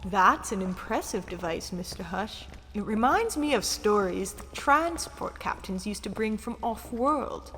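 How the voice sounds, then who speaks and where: An actress playing a gracious, self-assured dignitary and scholarly guide in a sci-fi audio drama, with formal, courtly phrasing. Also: female voice, medium pitch, fairly bright tone. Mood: courteous. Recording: faint background noise.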